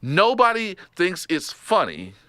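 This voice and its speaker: A man's voice: sits high, slow, dry recording, clean studio sound. A man from Texas with a Texas accent, co-hosting a podcast in casual, joking chat that often breaks into laughter. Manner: animated, joking, laughs often, trails off and restarts. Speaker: podcast co-host.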